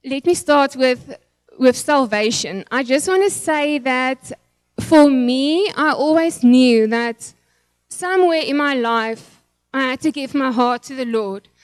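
A woman's voice: high voice, slow, clean tone, no room sound. A middle-aged South African woman whose first language is Afrikaans, giving a nervous, emotional church testimony and prayer with many fillers and restarts.

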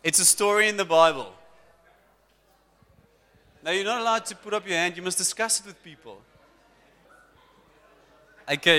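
A man preaching to his congregation as pastor, lively and talking directly to the people in front of him. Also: a little high, smooth. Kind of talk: sermon. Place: church hall. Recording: long church hall reverb.

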